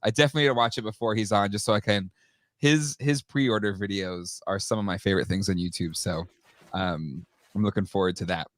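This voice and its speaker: A man's voice: fairly low pitch, brisk, dry recording, studio quality. A man in his thirties or forties co-hosting a live toy show, slightly nasal from a cold, chatty and upbeat with many fillers. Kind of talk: casual live-stream chat.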